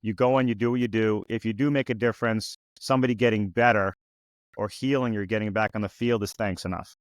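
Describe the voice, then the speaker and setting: A man in his early fifties hosts a laid-back podcast conversation. His voice sits low, and his talk meanders with restarts and "you know." The recording is dry and close, with no room sound. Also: quick pace, no room sound. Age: 50s. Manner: relaxed, rambling with frequent restarts and "you know". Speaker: podcast host. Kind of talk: casual conversational interview.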